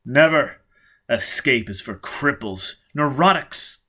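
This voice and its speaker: A man reading an audiobook, voicing a hard, driven man who talks loudly and forcefully. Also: medium pitch, expressive, slow pace, exaggerated voice. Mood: scornful. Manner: loud, forceful, commanding.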